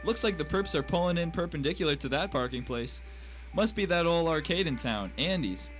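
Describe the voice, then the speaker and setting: A man's voice: a little high, lively intonation, a little fast, lightly acted. A man playing brash, folksy American tough guys in a noir radio drama, talking theatrically in old-timey slang. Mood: cocky, chatty. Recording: music bed behind voice.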